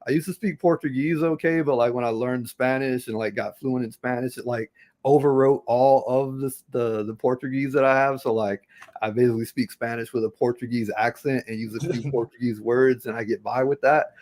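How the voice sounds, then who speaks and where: A man's voice: mid-range voice, fairly even intonation, a little fast, darkish tone. A man appearing as a guest on a podcast, talking in a friendly, chatty way with many fillers. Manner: friendly, chatty, with lots of "like" and laughs. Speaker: podcast guest, content creator. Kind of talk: casual interview chat.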